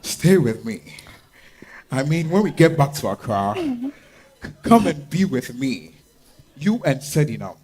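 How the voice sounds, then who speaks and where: A man with a Ghanaian accent playing a smitten, pleading lover with halting, earnest phrasing in a stage reading. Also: a little high, very animated, a little mellow, breathy edge, heavily acted. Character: smitten, pleading male lover and deal-maker. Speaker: stage actor. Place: live staged reading at a festival.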